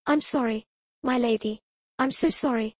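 Woman voicing a worried little girl, pleading, high and pinched, dry close audiobook read. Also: normal speed, no room sound, natural voice. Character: earnest anxious little girl, about seven.

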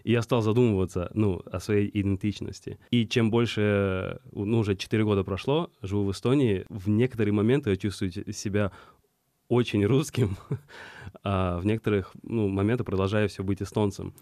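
Low, mellow-voiced young man from Estonia. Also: no room sound, studio quality. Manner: reflective, halting with restarts. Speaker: guest. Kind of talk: interview answers.

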